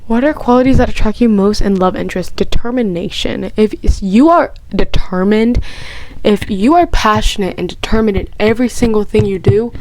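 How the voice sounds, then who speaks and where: Woman vlogger, casual chat, excitable and rambling, mid pitch. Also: moderate pace, poor audio. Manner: gushing and excitable, rambling with many restarts; chatty, heavy use of "like". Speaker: vlogger answering personal questions.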